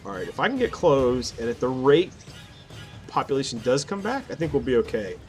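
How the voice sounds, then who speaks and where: A man gives casual, self-deprecating gameplay commentary, thinking out loud. His medium-pitched voice moves around a lot, with soft game music behind him. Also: very animated, brisk. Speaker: gaming video host. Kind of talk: casual let's play commentary. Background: game music and effects.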